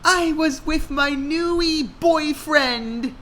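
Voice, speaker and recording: A man voicing a dramatic, lovestruck teenage girl in a put-on falsetto for a fan-fiction parody. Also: takes it slowly, pushed and bright, a little hiss or hum, exaggerated voice. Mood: lovestruck, dreamy.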